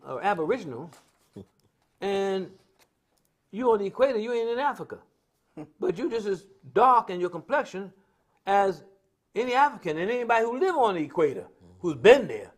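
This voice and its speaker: An American man speaking off the cuff in a preaching style on a religious talk stream, emphatic and repetitive, with colloquial storytelling. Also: high voice. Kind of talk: impromptu preaching-style social commentary.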